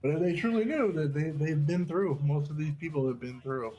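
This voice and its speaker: A man's voice: a little high, a little fast, mellow, muffled recording. A man hosting casual wrestling commentary in an enthusiastic, slangy and profane way. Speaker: segment host and match commentator.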